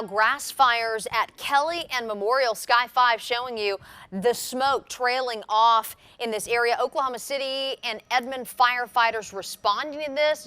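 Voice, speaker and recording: A woman's voice: a little high, bright, forceful tone, smooth. A woman presenting a television newscast as anchor. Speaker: TV news anchor.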